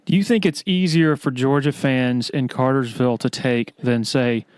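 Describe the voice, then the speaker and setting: A man's voice: mid-range voice, steady tone, normal speed, darkish tone, clear, resonant tone, dry recording. A man hosting a sports podcast, asking questions in a casual interview style.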